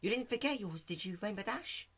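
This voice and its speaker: A gentle, timid female pony from a cartoon story, put on by a man. The voice is lifted high and kept soft, with a polite, hesitant delivery. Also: animated, brisk, exaggerated voice.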